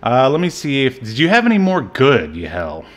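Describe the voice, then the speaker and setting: A man hosting a podcast, chatting casually and softly about a movie, often trailing off into fillers. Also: medium pitch, wildly swooping pitch, normal speed. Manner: soft-spoken, trails off into fillers. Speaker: podcast host. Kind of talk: casual chat reviewing a movie.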